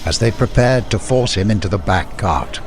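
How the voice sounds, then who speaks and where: A man's voice: on the low side, moderate pace, a little hiss or hum. A man reading scripted fantasy narration as an audiobook storyteller.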